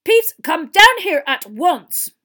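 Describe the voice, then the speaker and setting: A woman voicing a stern, angry woman professor in a storybook, sharp and commanding. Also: extremely high pitch, very animated, slow pace, pitched above the performer's own voice, heavily acted.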